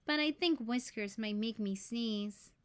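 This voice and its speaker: A woman's voice: fairly high pitch, a little slow, natural voice. A woman as an eager, polite little storybook boy. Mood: politely particular. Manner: earnest, polite, simply worded. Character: eager, polite young boy turtle pleading for a pet. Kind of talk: children's picture book read-aloud.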